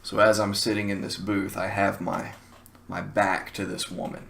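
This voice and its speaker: A man's voice: fairly low pitch, flat delivery, moderate pace, creaky, a bit bright. A man with a Southern US accent telling a casual comic anecdote in a wry, self-mocking, wordy way. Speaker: storyteller, show host.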